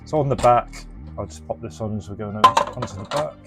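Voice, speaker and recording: A man's voice: on the low side, a little fast, creaky, over loud music. A man casually presenting a hands-on product demonstration, explaining informally and thinking aloud as he goes. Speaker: presenter and reseller of restored retro electronics.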